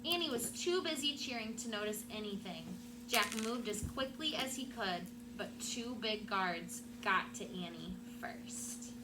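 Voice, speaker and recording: A woman teacher doing an expressive storybook read-aloud for her class. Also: mid-range voice, unhurried. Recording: clear background room noise.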